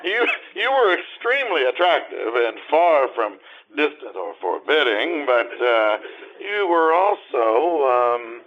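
An earnest, gallant suitor in an old-time radio play acted by a man. He speaks slowly and haltingly, with lively swings of pitch, on a dull recording. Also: slight background noise. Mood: awkward, matter-of-fact. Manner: halting, repeats words. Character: earnest, awkward gentleman suitor, gallant and hesitant.

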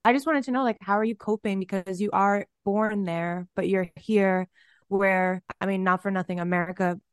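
Woman, interview host, casual chatty manner, smooth voice, dry close recording. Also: medium pitch, steady tone, moderate pace, dry recording. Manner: casual, lots of "like" fillers.

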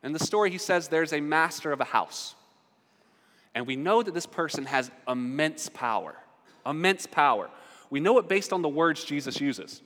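A man preaching a sermon as a pastor, conversational and quick to ask his listeners questions. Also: fairly high pitch, animated, fairly bright tone. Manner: conversational, asks the audience questions. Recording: long hall reverb.

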